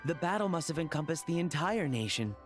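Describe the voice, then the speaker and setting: Bookish male academic for a video game, mild and keen, high-pitched, soft music behind male actor. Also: lively intonation, brisk, close to an everyday voice. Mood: studious. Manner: precise, bookish. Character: mild, earnest, enthusiastic male scholar.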